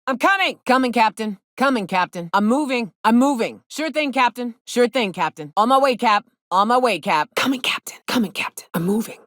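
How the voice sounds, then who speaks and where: An actress playing a tough, wry mercenary hunter in a video game, with a husky, raspy voice and a casual, dry manner. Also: female voice, fairly high pitch, animated, brisk, few pauses, fairly bright tone, no echo. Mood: eager, upbeat. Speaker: companion character in a video game. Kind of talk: video game voice acting, short barks and banter.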